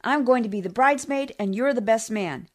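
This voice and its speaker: Woman acting a bossy nagging radio housewife, highish voice. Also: a little fast, natural voice. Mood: insistent. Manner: pointed, scolding. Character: bossy, needling, nagging housewife, unflappably critical. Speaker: community theatre actor. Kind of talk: 1940s radio comedy acting.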